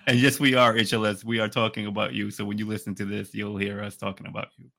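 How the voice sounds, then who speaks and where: A man co-hosting a podcast, chatting casually and telling stories in an animated way, often repeating his phrases. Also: fairly low pitch, fast, creaky. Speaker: podcast co-host.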